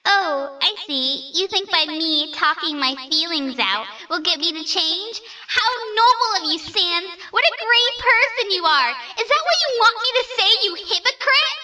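Mocking child villain played by a woman: sharp, swooping high voice, sneering delivery, slightly distant mic. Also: animated, measured pace, few pauses, exaggerated voice. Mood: mocking, accusing. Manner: sneering, theatrical. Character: bitter, mocking, hot-tempered fallen child with dark power. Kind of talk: comic dub character acting.

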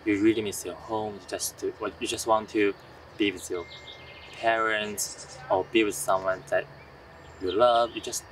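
A man's voice: on the low side, fairly even intonation, normal speed, a bit bright. A man in his twenties from China, a master's student, talking earnestly with some restarts while sitting outdoors on a bench. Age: 20s. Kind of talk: documentary interview. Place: outdoors on a bench among trees. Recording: distant mic, light outdoor noise.

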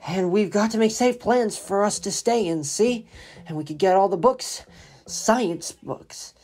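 A man's voice: sits high, a little slow, a little mellow, pitched above the performer's own voice. A man voicing a fervent, half-crazed artilleryman survivor in a radio play, ranting and insistent. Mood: fervent, excited. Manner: insistent, ranting, punctuating with "see?". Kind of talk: old-time radio drama acting.

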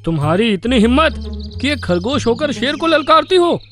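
Cartoon rabbit who thinks he's a lion, very high squeaky voice, blustering threats, played by a man. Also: sing-song, normal speed, a bit bright, soft background music, heavily acted. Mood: boastful. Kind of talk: cartoon dubbing.

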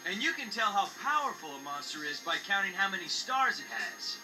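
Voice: medium pitch, sing-song, brisk, close to an everyday voice, poor audio. An actor playing a confident, friendly teenage boy who explains things casually in an English anime dub. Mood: instructive. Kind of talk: English-dubbed anime acting. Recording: TV playback filmed, music behind.

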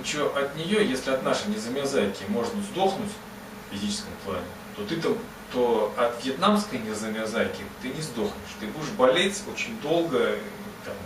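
A man telling a comic story to an audience in a chatty, digressive and self-mocking way. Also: medium pitch. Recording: roomy, distant mic, ambient noise.

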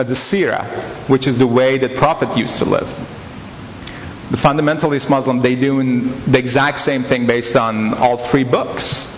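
A man's voice: mid-range voice, slight background noise. A man in his thirties speaks earnestly at a church. His voice carries long reverb off the hall, and faint room ambience sits under it. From Iran. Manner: earnest, gesturing, restarting phrases. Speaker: guest speaker. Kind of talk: church talk. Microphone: headset mic.

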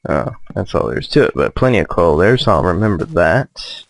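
A man giving casual, low-key let's play commentary, musing hesitantly to himself as he plays. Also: lively intonation, fairly quick, gravelly. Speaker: gamer, let's play host.

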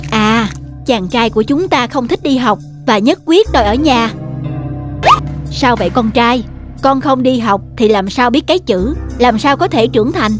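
A calm cartoon father, offering advice in a gently lecturing manner. Music plays clearly behind the voice. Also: extremely high pitch, normal speed. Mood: concerned advising. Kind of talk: cartoon dubbing. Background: prominent music.

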